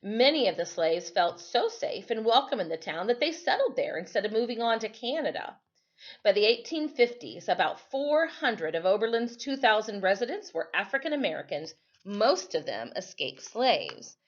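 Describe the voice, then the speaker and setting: A woman reading a history story aloud as a storyteller, in an expressive, teacherly way. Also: fairly high pitch, normal speed, lo-fi sound.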